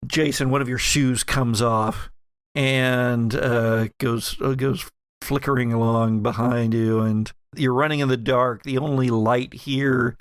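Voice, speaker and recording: A man improvising narration as game master of a horror tabletop game, setting scenes in a deliberate, halting way with vivid description. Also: mid-range voice, fairly even intonation, clean tone, no echo.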